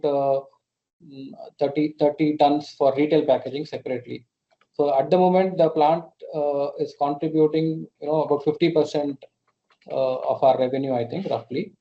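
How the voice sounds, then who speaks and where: An Indian-accented man speaks as a company executive on an investor call. His voice sits a little high and he explains haltingly, doubling back on words. The sound is muffled, as over a conference line. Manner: hesitant, repeats words as he explains. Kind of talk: unscripted earnings call answers. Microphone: conference call connection. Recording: muffled, band-limited conference call audio.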